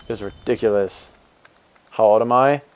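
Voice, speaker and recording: A man in his twenties with a deep voice, a game streamer talking casually with viewers while answering chat and rambling with fillers and restarts. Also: normal speed, clear, resonant tone, faint music behind. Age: 20s.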